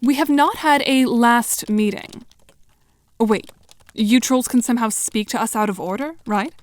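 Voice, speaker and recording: A haughty, articulate teen girl, acted by a woman, delivering audio drama lines in a deadpan, fairly high voice. Faint noise and keyboard clicks sit behind her. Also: measured pace, lightly acted. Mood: curt, annoyed. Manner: deadpan, formal, sardonic. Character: dry, haughty, articulate, self-assured teenage girl.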